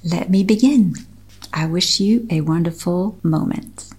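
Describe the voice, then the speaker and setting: A middle-aged woman with a French accent, a French native speaker from Switzerland, reading her own personal essay aloud in a slow, measured, earnest way. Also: lowish voice, darkish tone, slightly rough. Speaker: author reading her article.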